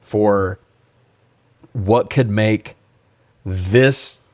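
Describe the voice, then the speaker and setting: A low-voiced man chats casually about movies as a podcast co-host. He rambles through wry asides and swears freely. The sound is dry, with no room on it. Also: drawn-out words, no room sound. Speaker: podcast co-host reviewing films. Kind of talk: casual film chat with swearing.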